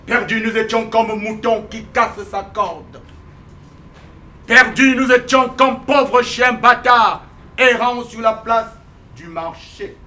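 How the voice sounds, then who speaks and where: A man's voice: high voice, sharp tone, slight background noise. A man giving a theatrical poetry recital in a solemn, dramatic, declamatory manner. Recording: roomy echo, faint music behind.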